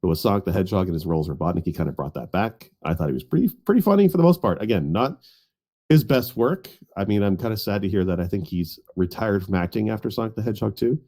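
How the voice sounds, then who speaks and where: Low, mellow man chatting casually. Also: expressive, quick pace. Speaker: podcast panelist. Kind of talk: casual chat.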